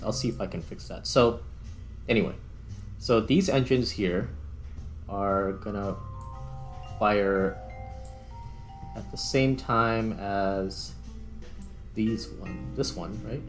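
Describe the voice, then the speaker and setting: Male gaming host, lowish voice, casual, talks through each step as he goes; light music bed. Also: unhurried, long pauses. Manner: thinks aloud while working. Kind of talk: casual gameplay commentary. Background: background music.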